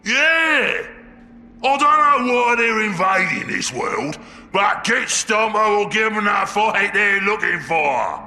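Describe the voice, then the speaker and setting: A man's voice: high-pitched, animated, takes it slowly, bright, forceful tone, in a large hall, faint music behind, heavily acted. A man voicing a boastful, dim-witted ork warboss with a bellowing, crude delivery for a video game cinematic. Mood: boastful, confiding.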